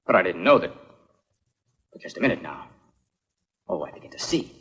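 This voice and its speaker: Man acting a rough, forceful low-voiced henchman. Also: big pitch swings, normal speed, muffled recording, exaggerated voice. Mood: puzzled. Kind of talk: dubbed period film acting.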